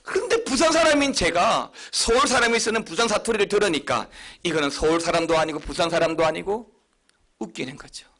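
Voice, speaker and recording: A middle-aged man from Busan, a pastor preaching a sermon with a slight Busan accent, leaning hard on key words with sudden high, loud stresses. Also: fairly quick. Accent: Busan Gyeongsang. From Busan, South Korea.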